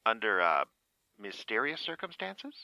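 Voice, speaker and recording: A man's voice: very animated, unhurried, gravelly, bright, forceful tone, no echo, heavily acted, rough recording. An actor playing a nervous, stammering male insurance man who is sweet on an old friend, in an amateur radio play. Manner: stammering, flustered, jumpy pitch.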